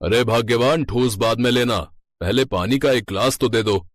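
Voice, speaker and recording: A man playing a greedy, scheming, boastful village sweet-shop owner in an animated moral story. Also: mid-range voice, normal speed, dry recording, studio quality, natural voice. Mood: mocking. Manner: gloating, dismissive, boastful.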